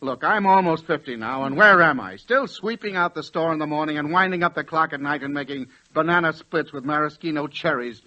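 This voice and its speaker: A meek, dreamy druggist in his late forties speaks in a highish, very bright voice. He stammers and hesitates, then swells with fervor. It is 1940s radio acting on a dull old recording. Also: fairly quick, few pauses. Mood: wistful, frustrated. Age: late 40s. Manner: hesitant, stammering, turning impassioned. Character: meek, dreamy middle-aged druggist obsessed with trains.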